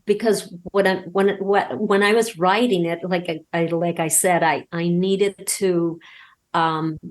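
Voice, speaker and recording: A woman talking casually as an interview guest and telling anecdotes, emphatic and halting, often repeating words as she goes. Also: lowish voice, fairly even intonation. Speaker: podcast guest, audio drama creator and voice actor.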